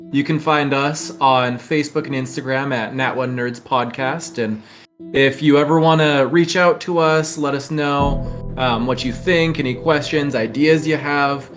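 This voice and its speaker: A man speaks to listeners as a podcast co-host, casual and conversational. His voice is mid-pitched, and guitar music plays faintly underneath. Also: fairly bright tone.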